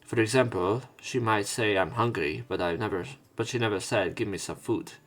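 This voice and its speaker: A man's voice: on the low side, normal speed, a bit bright. A man narrating a visual novel as a let's play, reading the story text aloud.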